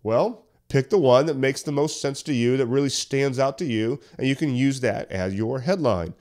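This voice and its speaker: A man hosting a solo podcast tutorial, talking conversationally and a little hoarse while getting over a cough, walking listeners through tips step by step. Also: medium pitch, moderate pace, darkish tone. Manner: conversational, explains step by step with questions. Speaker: podcast host. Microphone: studio podcast mic.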